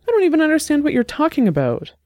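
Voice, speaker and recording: A narrator voicing a nervous, defensive man whose voice rises high and shaky, near tears. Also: wildly swooping pitch, fast, darkish tone, no echo, studio quality. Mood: defensive, shrill.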